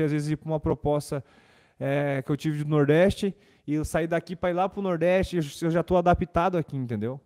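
A young Brazilian footballer answering press questions casually at a desk microphone in a club press room, repeating words and using fillers. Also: male voice, fairly high pitch, warm tone.

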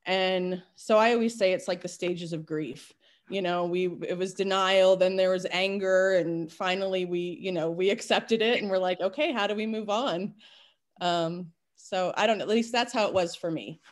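A woman in her forties, a kindergarten teacher, telling a candid story on a video call from home. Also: fairly low pitch. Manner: candid, storytelling, quick asides. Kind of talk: casual video call panel. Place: home room at night. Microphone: laptop webcam on video call.

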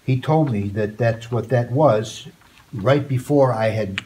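An older man, a guest poet, reading a poem and chatting softly with students in a school classroom. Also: mid-range voice, a little slow. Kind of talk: poetry reading and chat. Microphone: far phone camera. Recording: roomy, distant, noisy phone recording.

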